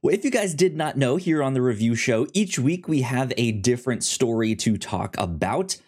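Man hosting a chatty, animated review podcast. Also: medium pitch, normal speed, breathy edge. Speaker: podcast host. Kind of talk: casual review chat.

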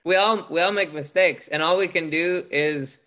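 A man with a loud, booming voice, speaking as a guest in a casual interview, earnest, with many restarts. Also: normal speed. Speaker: podcast guest, author.

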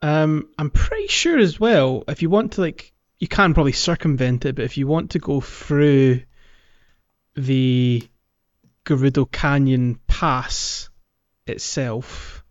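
A man's voice: mid-range voice, lively intonation, slow, dry recording. Man chatting in slightly muffled, dry sound. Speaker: podcast co-host. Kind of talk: casual gaming chat.

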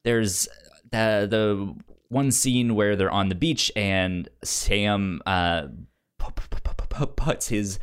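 A man co-hosting a podcast, casually discussing film in a halting way, often repeating syllables and words as he starts. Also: on the low side, smooth. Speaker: podcast co-host.

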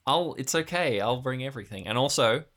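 A man's voice: mid-range voice, expressive, fairly quick, a bit bright. A man casually hosting a role-play podcast with dry, teasing humour. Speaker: podcast host, game master.

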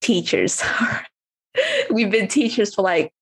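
A woman's voice: medium pitch, huge pitch swings, slow pace, breathy edge, no echo. A woman from Vietnam, a coach and podcast guest, talking in a rambling, casual way with many fillers and restarts. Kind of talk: casual interview chat.

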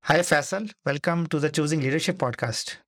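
A man's voice: on the high side, fairly quick, fairly bright tone, no room sound, clean studio sound. A man hosting a podcast, who reads an earnest, emphatic introduction and then asks interview questions. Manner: earnest, emphatic, repeats key words. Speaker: podcast host.

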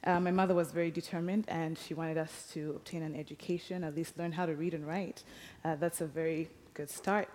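A young woman with a Ugandan accent giving a reflective, lightly humorous personal talk in measured phrases. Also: deep voice, fairly even intonation, a little mellow, a little hiss or hum. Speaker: speaker telling personal story. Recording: reverberant hall.

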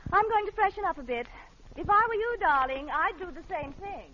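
Haughty high-voiced rival, old radio. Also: female voice, animated, a little fast, heavy background noise. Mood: smug, catty. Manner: condescending, falsely sweet. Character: haughty, catty, well-off female teacher rival. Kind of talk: vintage radio sitcom acting. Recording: muffled old radio broadcast, studio audience.

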